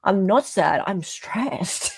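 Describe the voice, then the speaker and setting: A woman's voice: medium pitch, expressive, slow, creaky, darkish tone, slightly rough, dry recording, poor audio. An Australian woman hosting a live stream in casual, opinionated chat, often checking for agreement as she goes.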